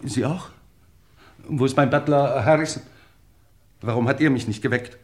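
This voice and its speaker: An actor playing a pompous English lord, aghast and declaiming theatrically in a 1950s German radio play. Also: male voice, medium pitch, moderate pace, close to an everyday voice. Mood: indignant, lamenting.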